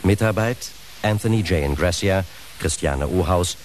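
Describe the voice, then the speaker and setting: A man's voice: low voice, moderate pace. A man announcing titles and credits in a formal, scripted radio style. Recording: faint background hiss.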